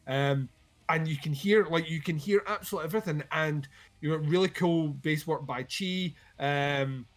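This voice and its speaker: A man's voice: fairly high pitch, moderate pace. Rambling, swearing man chatting about metal. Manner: rambling, many fillers and restarts, swears freely. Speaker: co-host. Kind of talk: casual chat about metal albums.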